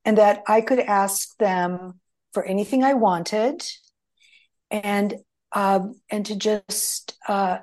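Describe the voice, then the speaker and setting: A woman's voice: mid-range voice, a little mellow. A middle-aged woman whose first language is Greek, telling stories in a rambling conversational way, full of restarts and fillers. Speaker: guest. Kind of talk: conversational storytelling.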